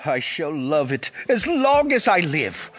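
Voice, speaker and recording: An actor playing an elderly reformed miser giddy with joy and generosity, exclaiming, stammering and repeating himself in an audio drama. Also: male voice, a little high, sing-song, slow, a bit bright, muffled recording, exaggerated voice. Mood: delighted.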